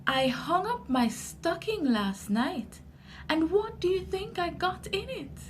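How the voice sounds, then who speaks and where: A woman with a Jamaican accent voicing a cheerful, excitable little boy in a storybook. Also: extremely high pitch, slow, a little hiss or hum, voice pushed up, exaggerated voice. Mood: excited.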